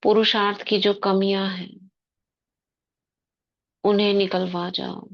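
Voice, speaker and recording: A woman guiding a spiritual meditation in slow, soft short phrases with long pauses. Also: medium pitch, flat delivery, gravelly, lo-fi sound. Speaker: meditation guide. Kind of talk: guided meditation commentary.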